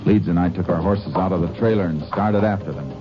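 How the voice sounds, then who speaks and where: An actor playing a calm, shrewd Texas Ranger who tracks outlaws and narrates, in a 1950s radio drama. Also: male voice, deep voice, a little fast, over music, lightly acted. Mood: narrating. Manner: calm, clipped, matter-of-fact. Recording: dull old radio broadcast recording.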